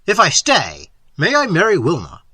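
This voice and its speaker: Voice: mid-range voice, wildly swooping pitch, normal speed, sharp tone, slightly rough, no echo, pitched above the performer's own voice, full character voice. A narrator voicing an earnest, indignant young male suitor, boldly defiant and emphatic, in a story reading. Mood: angry resolve. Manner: emphatic, hissing, declamatory.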